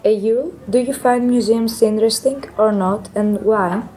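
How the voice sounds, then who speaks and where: A woman's voice: on the high side, measured pace, dark tone, poor audio. A teenage girl from Greece hosting a student podcast, asking questions and passing turns to others. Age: teens. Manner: asks questions, moderating. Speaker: student host. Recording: noticeable background hiss.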